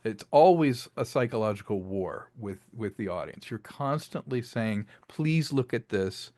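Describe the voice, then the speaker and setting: A man's voice: fairly low pitch, lively intonation, measured pace, warm tone. Man telling stories in an interview. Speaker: interviewed filmmaker and audio drama creator. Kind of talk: casual interview talk about craft.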